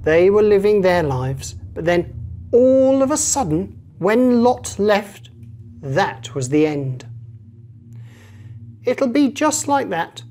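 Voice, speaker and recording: A woman reading a children's Bible story in an expressive, measured manner. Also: medium pitch. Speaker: story reader. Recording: faint background noise.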